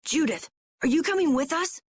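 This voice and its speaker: High-pitched, excitable nervous boy. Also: normal speed. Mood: anxious, earnest. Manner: boyish, hesitant, excitable. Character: eager, nervous, earnest young boy adventurer. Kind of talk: video game cutscene acting.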